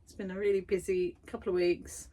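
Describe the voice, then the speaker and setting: A woman's voice: medium pitch, little pitch movement, fairly quick, dark tone. A woman vlogging from her garden shed studio, chatty and self-deprecating, tired after busy weeks. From United Kingdom. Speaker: vlogger and art workshop tutor. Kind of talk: casual chatty vlog.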